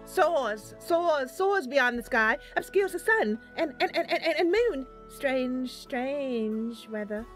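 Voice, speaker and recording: A woman's voice: sits high, expressive, slow pace, few pauses, faint music behind, pitched above the performer's own voice. A woman voicing a jittery, addled old man who halts and repeats words over and over. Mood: agitated, rambling.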